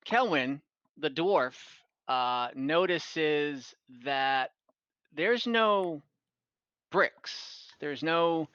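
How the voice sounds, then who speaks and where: Male game master, forceful and slightly high, improvising fantasy scenes in a halting, rambling way; dry, slightly muffled recording. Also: lively intonation, clear, resonant tone, dry recording. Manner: halting, rambling, self-correcting. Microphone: online voice call, close microphone.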